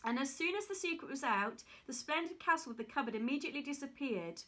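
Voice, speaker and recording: A woman reading a fairy tale aloud in a careful, steady way with small slips. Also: sits high, fast. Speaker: reader.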